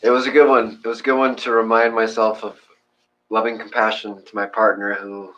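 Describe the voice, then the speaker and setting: A man's voice: on the low side, little pitch movement, brisk, sharp tone, lo-fi sound. A man chatting casually as co-host of a meditation session, rambling and joking at his own expense. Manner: joking, rambling, self-deprecating. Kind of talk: casual chat.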